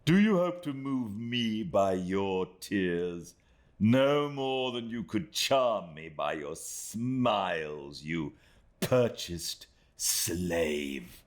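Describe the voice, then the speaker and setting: A cold, proud woman , played by a man, sneers each word with slow, measured contempt. The voice sits a little above a man's normal pitch. It moves expressively between hushed and sharp. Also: very animated. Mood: scornful.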